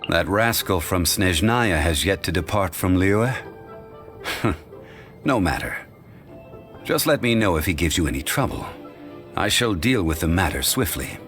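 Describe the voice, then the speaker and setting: An actor voicing an ancient, dignified male sage for a game, in formal, measured, unhurried speech. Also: sits low, lively intonation, fairly bright tone, faint music behind. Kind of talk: studio game voice lines.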